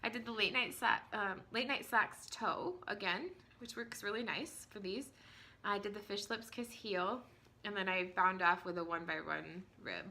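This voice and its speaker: A woman's voice: medium pitch, moderate pace, sharp tone. Woman in her 30s with a cold, voice scratchy, chatting at home about knitting, lots of ums. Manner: chatty, apologetic, many ums and small laughs. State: hoarse from a cold. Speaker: knitting podcast host. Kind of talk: casual chat to camera. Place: at home, indoors.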